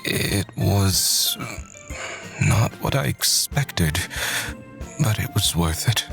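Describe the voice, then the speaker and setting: An actor playing a reserved young male tea-maker, measured and subdued, in a visual novel audio drama. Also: deep voice, animated, gravelly, a bit bright, soft background music, heavily acted.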